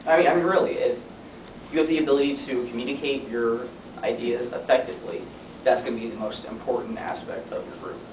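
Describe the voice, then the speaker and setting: Young guy doing a class presentation, kinda deadpan, far from the mic w/ clear background rumble. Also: fairly quick. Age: young adult. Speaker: student speaker. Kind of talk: informative class speech. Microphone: distant camera microphone. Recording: distant mic, echoey room, noisy rumble.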